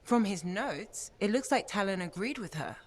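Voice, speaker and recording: An actress playing a thoughtful young female scholar in a video game, explaining things in a measured, gentle way. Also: mid-range voice, animated, lightly acted.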